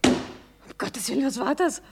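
A woman's voice: high-pitched, expressive, unhurried, a little mellow, slight background noise. A woman playing an artist's wry young sweetheart in a radio play, with a dry, teasing manner. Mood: surprised, hurried. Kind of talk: German radio play acting.

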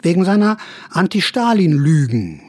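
A man's voice: sits high, big pitch swings, normal speed, a little mellow, dry recording. An actor playing a sly older counterculture veteran who narrates his memories in a radio drama, confiding, wry and theatrical. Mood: confessional, ironic.

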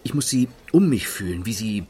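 Thrill-seeking gentleman thief, male actor, radio drama: self-dramatising, savouring, wide swings in pitch. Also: expressive, slight background noise, close to an everyday voice. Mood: relishing, intense. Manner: savouring, theatrical pauses. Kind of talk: radio play acting.

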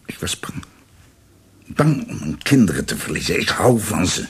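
An actor playing a guilt-ridden older former judge in a radio drama, husky, halting and formal, a proud and guarded father. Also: low voice, sing-song, heavily acted. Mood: remorseful.

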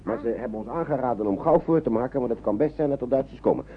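A man's voice: mid-range voice, quick pace, husky, phone-quality sound, natural voice. An actor in a radio play voicing a kindly, anxious man shepherding children, fussy and reassuring, often repeating himself. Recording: noisy old radio play recording.

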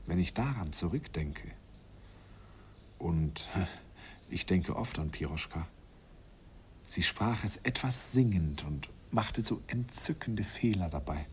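An actor narrating a radio play as a grown man fondly recalling his youth, softly and wistfully, sometimes whispering. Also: low-pitched, a little hiss or hum.

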